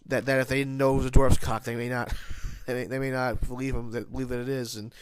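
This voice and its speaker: A man's voice: medium pitch, little pitch movement, quick pace, clear, resonant tone, no echo. A man with a nasal voice, congested from hay fever, giving a casual, rambling spoken review of a TV episode. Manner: rambling, many restarts and self-corrections.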